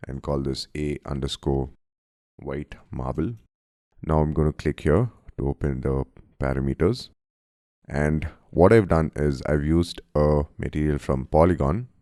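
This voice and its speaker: A man from India with a cold, narrating a friendly software tutorial and calling his viewers guys. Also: rumbling low, no room sound, studio quality. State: has a cold, voice deeper than usual. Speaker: software instructor. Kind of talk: screen-recorded tutorial narration.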